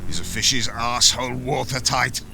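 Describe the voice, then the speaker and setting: An actor playing a blunt, crude-humored Viking tracker with a gravelly voice. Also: male voice, medium pitch, steady tone, moderate pace, sharp tone, soft background music. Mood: gruff.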